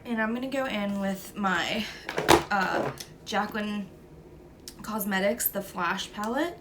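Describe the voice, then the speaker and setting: Stuffy-nosed woman, slow easy tutorial. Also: medium pitch, very noisy. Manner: easygoing step-by-step talk with "um" and "like". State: stuffy nose, sniffling from a cold. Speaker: beauty vlogger. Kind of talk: makeup tutorial narration.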